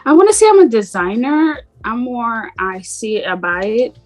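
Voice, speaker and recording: A middle-aged woman with a slight Jamaican accent, talking about herself in a relaxed, rambling way as an interview guest. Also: on the high side, normal speed, faint music behind. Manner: relaxed, rambling, frequent "like" fillers. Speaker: boutique owner guest. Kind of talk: casual interview chat.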